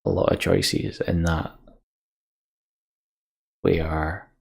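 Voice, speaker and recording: A man giving casual, reflective game commentary as a let's play host, halting and wistful, often sighing. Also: low voice, little pitch movement, vocal fry, breathy edge. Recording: music behind the voice. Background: game soundtrack music.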